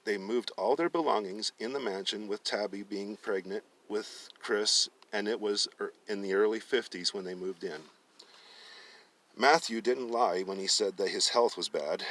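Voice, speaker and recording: A man's voice: lowish voice, fairly bright tone, distant mic. A man narrating fiction aloud as a storyteller, often stumbling and rereading phrases. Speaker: storyteller reading a book aloud.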